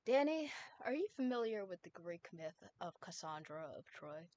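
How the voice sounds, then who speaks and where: A woman doing a calm ancient time ghost. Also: on the low side, lo-fi sound, a little hiss or hum. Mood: knowing. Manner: gentle, measured and knowing.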